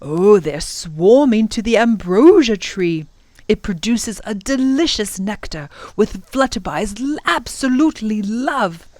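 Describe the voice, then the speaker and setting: Woman playing a fussy, animated old professor. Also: mid-range voice, measured pace, no room sound, close to an everyday voice. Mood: explaining. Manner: oh-and-ah exclamations, explanatory, donnish. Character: kindly, fussy, easily flustered older male professor.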